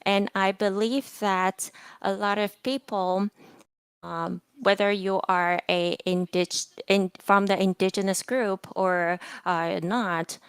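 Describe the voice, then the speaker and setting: A woman hosting a lecture event, introducing it formally in a careful, halting way with fillers and restarts. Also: medium pitch, steady tone, clear, resonant tone, no echo. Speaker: event host.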